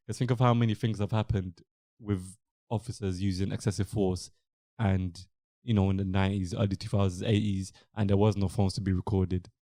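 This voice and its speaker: A young man with an English accent hosting a podcast, talking in a relaxed, rambling way with many fillers. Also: low-pitched, no echo, clean studio sound. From England. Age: young adult. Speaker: podcast host. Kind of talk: casual podcast chat.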